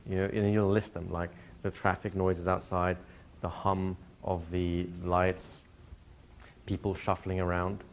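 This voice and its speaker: A man teaching a hypnotherapy class to a live group, explaining plainly and slipping into slow, soothing hypnotic patter for demonstrations. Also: low voice, little pitch movement, a little hiss or hum. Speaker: hypnosis trainer. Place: training room with a live audience.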